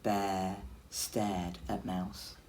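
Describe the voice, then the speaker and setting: A woman's voice: rumbling low, level tone, extremely slow, a little mellow. A woman teacher reading a story expressively to young children.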